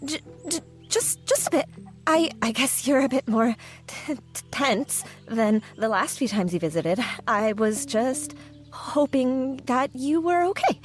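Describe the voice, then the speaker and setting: Woman acting shy, high-voiced, hesitant young villager. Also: lively intonation, a little slow, soft background music. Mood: flustered. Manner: hesitant, stammering, soft-spoken. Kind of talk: fan audio drama acting.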